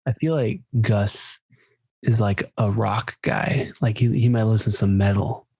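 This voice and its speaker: A man chatting casually as a book podcast co-host, low-key and tentative in how he talks. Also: fairly even intonation, unhurried, a little mellow, breathy edge.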